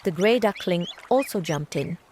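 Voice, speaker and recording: A woman's voice: fairly low pitch, expressive, moderate pace, noisy background. A woman storyteller reading a scripted children's tale.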